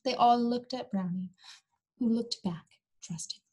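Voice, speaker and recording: A young woman reading a storybook aloud to small children in an animated, coaxing way, pausing to ask them questions. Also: fairly high pitch, slightly rough. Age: young adult. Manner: animated, coaxing, exaggerated delivery for young listeners. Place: small indoor room.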